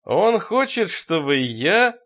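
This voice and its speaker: A man voicing a crazed, menacing sexton, a fanatical zealot who taunts loudly with drawn-out words in a horror audiobook. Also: high voice, big pitch swings, lo-fi sound, pitched above the performer's own voice, full character voice. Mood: fanatical, gloating.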